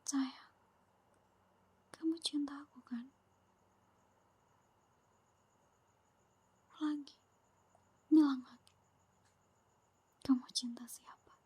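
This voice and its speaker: A woman's voice: high-pitched, steady tone, slow pace, pauses often, no room sound. A woman playing a whispering possessive girlfriend. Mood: pleading. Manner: soft close whispering, sweet and needy. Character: clingy, possessive, jealous young girlfriend. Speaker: ASMR roleplay performer. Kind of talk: ASMR whispered roleplay.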